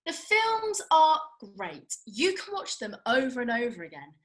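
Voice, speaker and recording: A woman's voice: high-pitched, wildly swooping pitch, measured pace, a bit bright, heavily acted. A woman acting a feisty, blunt young working-class woman in a comedy script read, colloquial and sweary. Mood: chatty.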